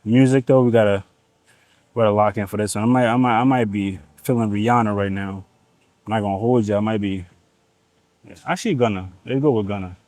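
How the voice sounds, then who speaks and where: A man's voice: lowish voice, fairly quick, a little mellow, dry recording. A man from Nigeria whose first language is Igbo, rambling casually in a gym vlog with slang and swearing. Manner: slangy, profane, rambling asides. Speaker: fitness vlogger.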